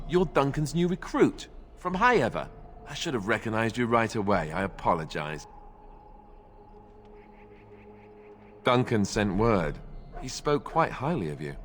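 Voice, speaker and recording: Wry, low-voiced young warrior. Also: male voice, slight background noise. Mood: wry, friendly. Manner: wry, chatty, self-deprecating. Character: young, wry, self-deprecating junior knight of an order. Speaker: junior warrior guiding new recruits. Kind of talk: conversational fantasy game dialogue.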